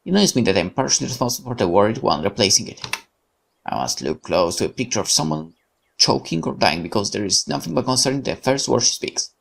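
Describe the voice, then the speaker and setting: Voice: mid-range voice, very animated, fast talker. A game streamer reading visual novel narration aloud expressively, occasionally stumbling over words. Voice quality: creaky.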